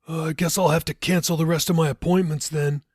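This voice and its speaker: A man voicing a wry, dry-witted man in an audiobook, casual and deadpan. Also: on the high side, fairly quick, no room sound, voice pushed up, close to an everyday voice. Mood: wry, joking. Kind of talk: audiobook character dialogue.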